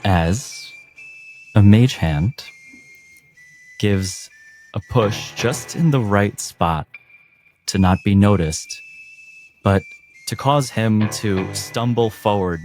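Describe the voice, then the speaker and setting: A man narrating a tabletop game as game master, measured and descriptive. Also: fairly low pitch, a little mellow.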